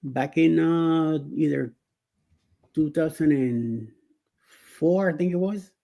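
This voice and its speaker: A man's voice: a little high, takes it slowly, vocal fry, warm tone. Chatty man in his sixties, rambling. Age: 60s. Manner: rambling, many fillers and restarts, laughs often. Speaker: show host. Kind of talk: casual live-stream chat.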